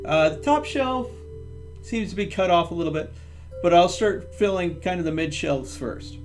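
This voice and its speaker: A man's voice: high-pitched, animated, moderate pace, faint music behind. A man hosting a livestream, casually talking through his game step by step and chatting with viewers. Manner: thinks aloud step by step.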